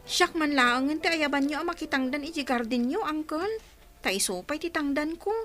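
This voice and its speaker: Casting: patient young Filipino wife, very high voice, earnest and devoted, radio drama. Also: female voice, normal speed, a bit bright, soft background music. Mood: meek, earnest. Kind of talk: Philippine radio drama acting.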